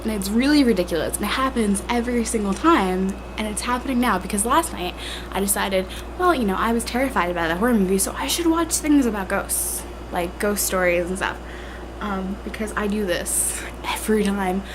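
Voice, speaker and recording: A woman's voice: medium pitch, fairly quick, noisy background. Congested young woman rambling to a handheld camera. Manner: chatty, filler-heavy, self-deprecating. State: congested and tired. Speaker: vlogger. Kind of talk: casual rambling vlog. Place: at home indoors. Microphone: handheld camera at arm's length.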